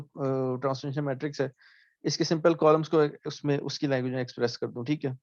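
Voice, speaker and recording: A man's voice: fairly high pitch, dry recording. Man explaining, dull dry recording. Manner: explains slowly, often asks "ठीक है?", restarts words. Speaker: lecturer. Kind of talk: recorded math lecture.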